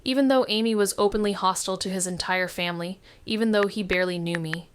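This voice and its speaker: A woman's voice: mid-range voice, quick pace, clean tone, natural voice. An actress playing a wary, sardonic young woman detective who narrates a noir audio drama with dry sarcasm. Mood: brooding, tense. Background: background music.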